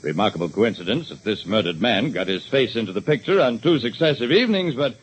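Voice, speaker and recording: A man's voice: mid-range voice, lively intonation, quick pace, husky, poor audio. A man voicing a dismissive, self-assured television station executive with emphatic delivery in a 1940s radio drama, on a hissy recording.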